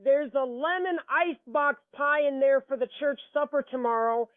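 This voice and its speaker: Actress as high-voiced, stubborn old lady. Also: normal speed, no echo, natural voice. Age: older. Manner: indignant, bossy, fussy. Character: stubborn, sharp-tongued old churchgoing Southern small-town woman. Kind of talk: audio drama dialogue. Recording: tinny, narrow phone-like sound.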